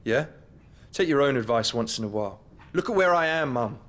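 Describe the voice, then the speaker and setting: An actor playing a halting, troubled young man. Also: medium pitch, lively intonation, a bit bright, lightly acted. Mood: angry. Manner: halting, stammering, medicated. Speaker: psychiatric patient. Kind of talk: radio play acting.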